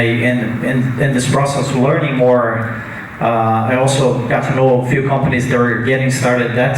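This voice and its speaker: A Brazilian-accented man introducing himself as a startup founder at a conference panel, halting with many fillers and restarts. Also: mid-range voice. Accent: Brazilian Portuguese. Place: conference hall. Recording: echoey hall, distant mic, noisy.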